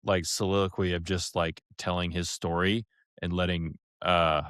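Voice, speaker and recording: A man's voice: sits low, little pitch movement, moderate pace, a bit bright, clean tone, dry recording, clean studio sound. A man co-hosting a podcast, giving his review loosely and casually, feeling around for descriptive words. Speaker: podcast co-host.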